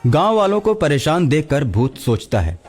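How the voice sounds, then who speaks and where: A man's voice: fairly high pitch, big pitch swings, normal speed, a little mellow, faint music behind. A man narrating a scripted cartoon story as the storyteller.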